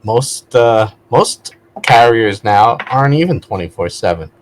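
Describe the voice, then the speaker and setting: A man's voice: medium pitch, lively intonation, unhurried, slight background noise, rough recording. A man talking casually as a vlog host, digressing and correcting himself as he goes in a conversational way. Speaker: video host, vlogger. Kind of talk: casual rambling spoken vlog.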